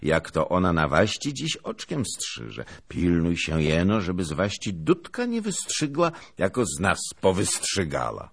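A man voicing a mocking, jovial knight who banters briskly in a historical storybook. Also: deep voice, wildly swooping pitch, creaky, slightly rough, full character voice. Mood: bantering. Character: cheeky, mocking little knight, a male court joker.

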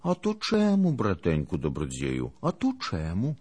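A man's voice: deep voice, wildly swooping pitch, measured pace, darkish tone, breathy edge, full character voice. A man voicing a naive, pious knight who protests in flustered, plaintive astonishment in a storybook.

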